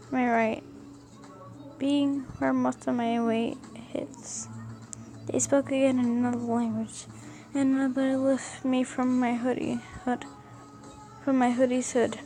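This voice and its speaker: A woman reading a first-person story aloud as an amateur narrator, haltingly, often stumbling and rereading words. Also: high-pitched, vocal fry, dark tone. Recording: music behind the voice. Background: music.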